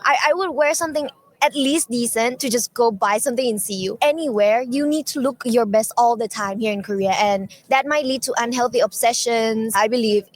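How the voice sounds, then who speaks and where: High-voiced woman from Laos, earnest. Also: fairly quick. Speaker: street interviewee. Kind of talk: casual interview answer.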